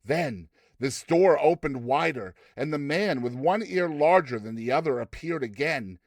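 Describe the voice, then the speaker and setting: A man narrating a story in an expressive, dramatized reading style. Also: on the high side, a little slow, dry recording.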